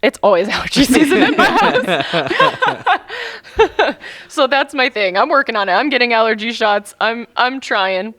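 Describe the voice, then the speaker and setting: A woman co-hosting a podcast, joking and swearing casually in relaxed chat. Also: fairly high pitch, a little slow, dry recording. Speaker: podcast co-host.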